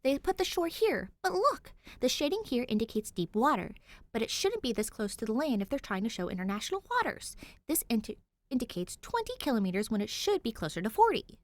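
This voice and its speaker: A woman acts out a sassy girl from an audiobook-style story, blunt and opinionated. She slips between deadpan remarks and animated, nerdy explanation, recorded close and dry. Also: fairly high pitch, brisk, dry recording, pitched above the performer's own voice. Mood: matter-of-fact. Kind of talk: acted dialogue in fan fiction reading.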